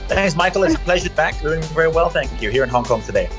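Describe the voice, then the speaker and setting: A man's voice: fairly high pitch, lively intonation, quick pace, music in the background. A man giving conversational interview answers as a podcast guest, hesitating and repeating words as he explains. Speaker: podcast guest, chief executive.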